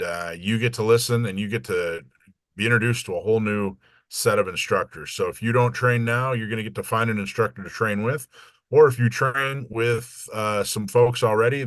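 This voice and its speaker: Low-voiced man hosting a talk. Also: a bit bright, no room sound. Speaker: host introducing a guest speaker. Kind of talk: informal spoken introduction.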